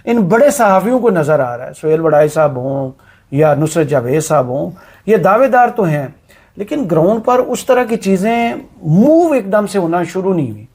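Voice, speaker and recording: High-voiced guy hosting a politics show, chatty and sarcastic. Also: big pitch swings, moderate pace, darkish tone. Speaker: host.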